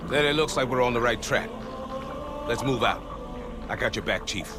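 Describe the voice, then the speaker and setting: A man's voice: mid-range voice, moderate pace, loud music behind the voice, close to an everyday voice. A man playing a cocky, loyal veteran sergeant major in a game, with brash, wry military banter. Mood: focused. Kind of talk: cinematic game dialogue.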